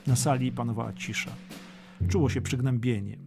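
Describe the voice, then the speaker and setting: A man's voice: medium pitch, fairly even intonation, normal speed, creaky, over music. A man narrating a dramatic history audiobook from a script.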